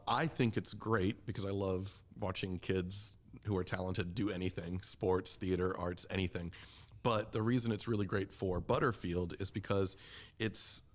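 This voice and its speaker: A man speaking enthusiastically and encouragingly in a casual interview as a community theater representative. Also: low-pitched.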